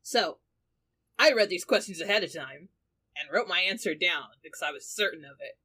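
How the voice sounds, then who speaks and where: A woman's voice: on the low side, animated, moderate pace, bright, forceful tone, breathy edge. A woman chatting casually as a podcast panelist, giving orderly, matter-of-fact answers. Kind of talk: casual chat.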